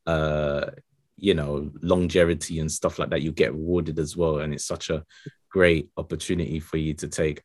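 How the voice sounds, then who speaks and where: A man's voice: rumbling low, flat delivery, moderate pace, darkish tone, no room sound, clean studio sound. A man hosting a podcast, chatting casually in a friendly, rambling way with many fillers. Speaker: podcast host. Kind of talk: casual interview chat.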